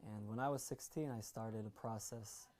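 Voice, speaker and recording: Composed man with a mellow voice. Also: fairly low pitch, moderate pace. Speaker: student. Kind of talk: interview answers.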